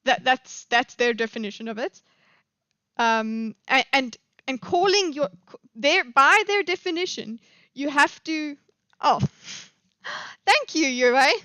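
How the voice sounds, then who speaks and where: A woman's voice: high-pitched, expressive, slow, pauses often, sharp tone, no echo, highs cut off. A woman with a South African accent, commenting on a live stream in a rambling way, with many fillers and restarts.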